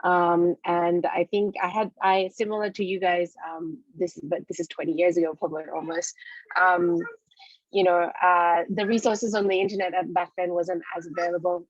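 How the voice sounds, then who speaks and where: Dull-sounding, low-voiced woman, hesitant speaker. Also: level tone, dry recording. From Indonesia. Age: 30s. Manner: hesitant, frequent pauses and repeated phrases. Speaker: panelist, children's science programme co-founder. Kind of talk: informal panel sharing. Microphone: video call. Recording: dull, lo-fi video call audio.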